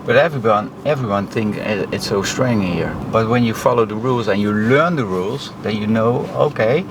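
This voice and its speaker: A man with a Dutch accent, a driving instructor speaking in a casual interview, halting and simple with lots of fillers. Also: fairly low pitch. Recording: noisy background hum.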